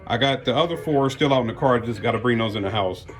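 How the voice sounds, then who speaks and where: A man's voice: medium pitch, fast talker, soft background music. An American man giving casual unboxing commentary as a car audio vlogger, talking in a folksy, slangy way.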